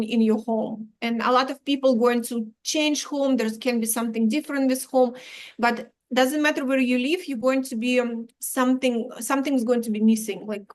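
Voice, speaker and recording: A woman talks slowly as an interview guest. She pauses, restarts her sentences and drops small words. Her voice sits a little high. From Ukraine. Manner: halting, many restarts, drops articles. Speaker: Vedic astrologer guest. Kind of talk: explanatory interview talk.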